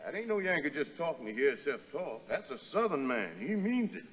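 An actor playing a cautious, folksy soldier in a 1930s radio drama. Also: male voice, on the high side, sing-song, fairly quick, slightly rough. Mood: wary. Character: cautious, sensible male soldier warning his comrades. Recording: muffled old radio recording.

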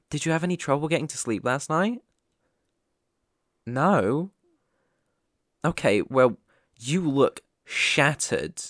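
A man's voice: a little high, no echo, clean studio sound. A man playing a caring, worried boyfriend in an ASMR roleplay, talking in a soothing, tender, reassuring way. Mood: concerned. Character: caring, worried boyfriend fussing tenderly over sick partner.

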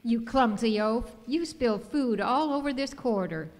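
A woman's voice: on the high side, normal speed, darkish tone, close to an everyday voice. A woman playing a bossy, officious ship's first officer in a radio skit. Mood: indignant. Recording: echoey hall.

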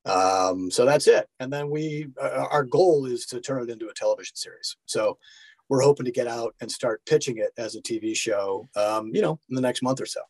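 Male actor-director guest, middle-aged, mid pitch, earnest informal interview talk, very dry sound. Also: a little fast, a little mellow, no echo, rough recording. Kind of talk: casual interview, explaining.

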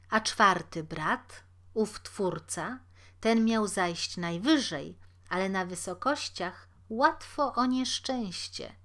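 A woman narrating a literary fairy tale as a storyteller, in a measured, deliberate manner. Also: medium pitch.

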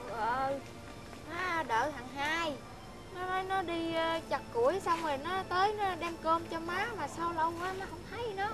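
A woman voicing a frail, tender old grandmother who talks softly and repeats soothing words. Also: very high-pitched, normal speed, over music, voice pushed up.

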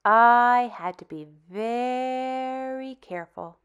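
A woman hosting a storytime for young children, reading and chatting in an animated, expressive, deliberate way. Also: fairly high pitch, clear, resonant tone, lo-fi sound. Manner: animated, expressive, deliberate phrasing with dramatic hushes. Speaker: storytime host. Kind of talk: children's read-aloud, addressing young children.

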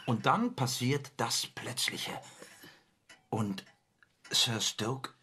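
An actor playing a wistful crime author musing over his plots, with a husky voice, in radio play style. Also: medium pitch, big pitch swings, very slow, bright, forceful tone, a little hiss or hum, exaggerated voice. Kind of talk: German radio play acting.

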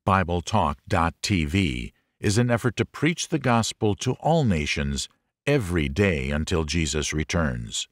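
A man reading a religious audiobook as a scripted narration, in a measured, clearly enunciated manner. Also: low-pitched, lively intonation, dry recording, clean studio sound.

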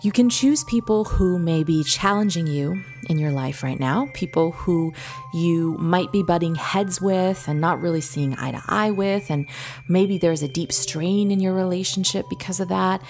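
A woman with a slightly low voice guides a meditation over clearly present background music. She speaks very slowly and gently, leaving long pauses between soothing instructions.

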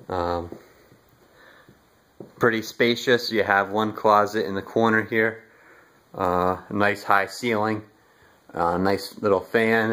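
Slow, low-voiced man walking a house tour. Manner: relaxed, halting, many fillers. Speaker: homeowner giving a house tour. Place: inside a house, walking room to room. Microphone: handheld phone camera, filming rooms.

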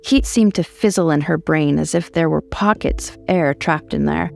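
A woman's voice: low voice, normal speed, gravelly. A woman reading a horror short story as scripted narration.

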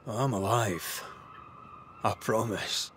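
An actor playing a terse, world-weary hunter of evil in a video game, dry and understated. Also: male voice, lowish voice, slow, a bit bright, a little hiss or hum. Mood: dry, wary. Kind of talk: naturalistic video game acting.

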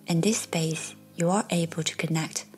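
A woman guiding a meditation in a soft, soothing, breathy voice that often nears a whisper, leaving long pauses. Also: deep voice, steady tone. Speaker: meditation guide. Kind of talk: guided meditation narration. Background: faint music.

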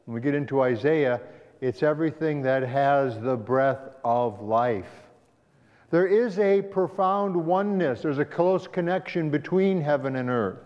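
A male pastor teaching a Sabbath school class, talking it through conversationally and asking the class questions. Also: fairly high pitch. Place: church sanctuary. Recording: dull echoey church hall recording.

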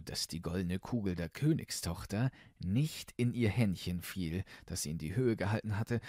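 A man's voice: mid-range voice, a little mellow, dry recording, studio quality. A man narrating a fairy tale as an audiobook, reading expressively from a script.

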